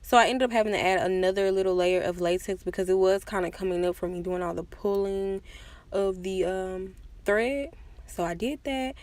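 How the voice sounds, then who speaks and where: A woman's voice: mid-range voice, normal speed, a little mellow. A woman giving a casual, chatty makeup tutorial voice-over with self-deprecating asides. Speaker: makeup vlogger.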